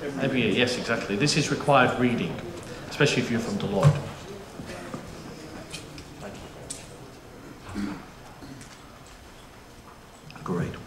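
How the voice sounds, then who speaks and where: A man's voice: mid-range voice, normal speed, pauses often. Chatty man in an echoey auditorium. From United Kingdom. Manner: chatty, jokey, frequent restarts and fillers. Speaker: panel moderator. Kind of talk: conference presentation. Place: conference auditorium. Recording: echoey auditorium, faint room noise.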